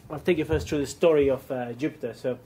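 A man's voice: mid-range voice, steady tone, fast talker, dark tone, slightly rough. A man from Chile with a Chilean accent, giving a keynote business presentation with frequent ums and restarts. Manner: hesitant, many ums and restarts. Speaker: keynote speaker.

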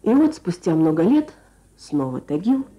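A woman journalist presenting a scripted Soviet radio programme in a measured, lyrical, formal manner. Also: fairly low pitch, sing-song, loud music behind the voice, low-quality recording. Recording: archival Soviet radio broadcast.

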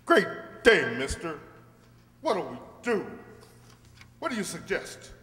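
A man's voice: sits high, sing-song, slow pace, bright, forceful tone, heavily acted. A man playing a worried, folksy small-town sheriff in an old-time radio western. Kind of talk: old-time radio drama acting, read from script. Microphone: stand microphone. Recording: echoey hall, faint room noise.

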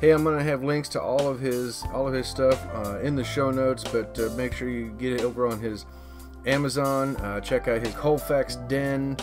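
A man hosts a podcast in casual, informal speech with plenty of hesitations. His pitch is average, and guitar music plays clearly behind him. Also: fairly even intonation, normal speed. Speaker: podcast host.

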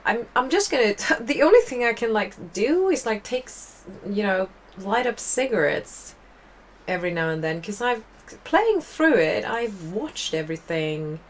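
A woman's voice: medium pitch, expressive, a little slow, slight background noise. A young woman with a Swedish accent, casually commenting while playing a game, chatty and rambling with wry asides. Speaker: let's play host.

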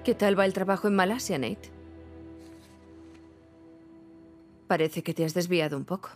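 An actress playing a hurt, sharp-tongued wife in her thirties in a dubbed game, sarcastic and reproachful, with a Castilian Spanish accent. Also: on the low side, quick pace, faint music behind, natural voice. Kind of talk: dubbed video game cinematic acting.

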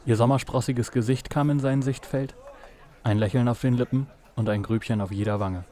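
A man's voice: medium pitch, steady tone, normal speed, dark tone. A man reading scripted narration for a noir audio drama.

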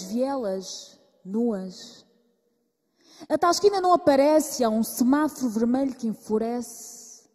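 Female slam poet, fairly high voice, rhythmic delivery, live stage with long reverb. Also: mellow. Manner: rhythmic, alternating drawn-out words and rapid runs. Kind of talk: slam poetry performance.

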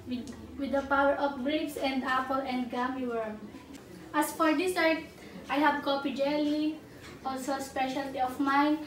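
A woman's voice: sits high, a bit bright. A young woman from the Philippines with a Filipino accent, giving a rehearsed presentation as a student caterer. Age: young adult. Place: indoors in a home room. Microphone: camera a few steps away. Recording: roomy, distant mic, faint background noise.

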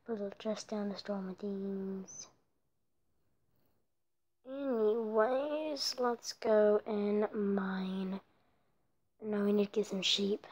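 A woman giving casual commentary over her own mobile block-building gameplay, rambling and often dropping into soft whispered asides, recorded on her phone. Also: mid-range voice, slow, pauses often. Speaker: let's play creator.

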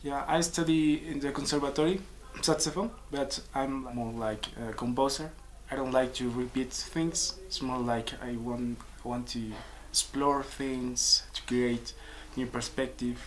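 Young peruvian man, pauses to find words, faint background hiss. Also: medium pitch, steady tone, fairly bright tone, low-quality recording. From Peru. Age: 20s. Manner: searching for words, halting restarts. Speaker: composer and musician. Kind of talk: casual interview answers. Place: small office with pictures on walls.

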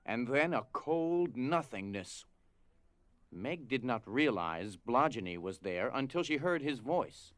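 A man's voice: mid-range voice, expressive, slow pace, a bit bright, no room sound. A man narrating a scripted dramatic story. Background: music.

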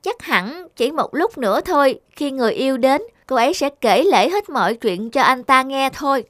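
A woman's voice: high voice, animated, normal speed, fairly bright tone, no room sound, studio quality. A woman voicing a small child cupid in a cartoon, curious, earnest and polite like a pupil. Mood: earnest, thoughtful. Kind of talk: cartoon dubbing.

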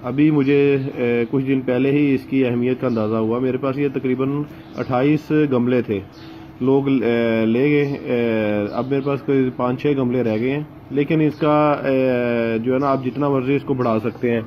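A man's voice: mid-range voice, fairly even intonation, a little hiss or hum. A man in an easygoing outdoor gardening vlog, his voice caught on a handheld phone with dull, muffled sound. He talks in a mid-range voice, stopping often with filler sounds, and faint open-air ambience sits behind him. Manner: hesitant, many filler sounds and pauses. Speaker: gardening channel host. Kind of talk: casual home gardening vlog. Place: outdoors among potted plants.

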